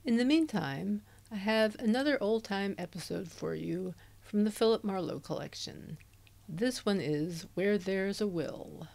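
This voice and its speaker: A woman hosting a crime fiction podcast, reading announcements in a clear, measured way. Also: mid-range voice, expressive, darkish tone. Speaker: podcast host. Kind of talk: scripted announcement. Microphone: handheld dynamic USB mic.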